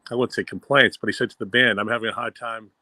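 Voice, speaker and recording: Bright-voiced Boston man. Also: medium pitch, fairly even intonation, fast talker, no room sound. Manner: enthusiastic, rambling with fillers. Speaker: co-host. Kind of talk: casual fan discussion.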